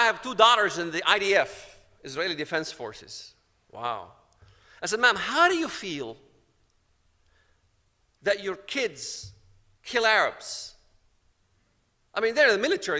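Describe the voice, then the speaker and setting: A man's voice: high-pitched, bright, forceful tone, long reverb tail. A man from Palestine giving a personal testimony, telling his story dramatically and acting out the dialogue. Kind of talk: storytelling talk to an audience.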